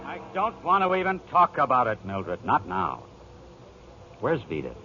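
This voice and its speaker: An American actor playing a bitter, stubborn estranged husband, curt, in 1940s radio style. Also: male voice, medium pitch, wildly swooping pitch, a little slow, sharp tone, soft background music, exaggerated voice. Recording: muffled 1940s radio recording.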